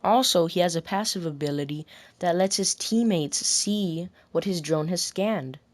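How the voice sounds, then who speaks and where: A woman recording scripted commentary about a video game, deliberately delivering lines and often rephrasing them in retakes. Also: on the low side. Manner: deliberate, restarts and rephrases whole sentences.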